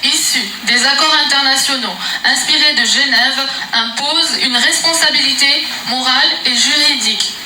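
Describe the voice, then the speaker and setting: A woman's voice: high-pitched, moderate pace, hardly any pauses. A woman opening a press conference with a solemn, emphatic political declaration. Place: meeting room in Brussels. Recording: echoey room from far off, noisy.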